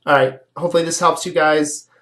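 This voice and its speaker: A man's voice: a little high, steady tone, a little fast, a bit bright. A man with a stuffy nose giving a casual, explanatory philosophy lecture to students, often asking "right?" as he goes. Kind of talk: recorded online lecture.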